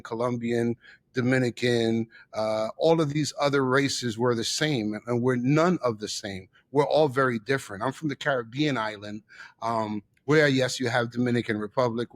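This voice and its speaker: Male, middle-aged, New York-raised guest. Emphatic storyteller who repeats for effect, mid-pitched, dry sound. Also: no room sound. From the Bronx, New York. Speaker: interview guest, chef and theater owner. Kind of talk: personal storytelling in an interview.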